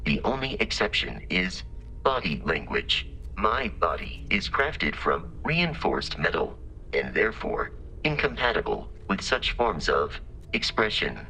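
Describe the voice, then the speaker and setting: Game robot companion: low, bright, deadpan, formal, polite and exact, dryly funny. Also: male voice, a little hiss or hum, full character voice, rough recording. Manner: formal, deadpan, precise, measured delivery. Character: polite, literal-minded male exploration robot companion, dryly humorous. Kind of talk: scripted video game character dialogue.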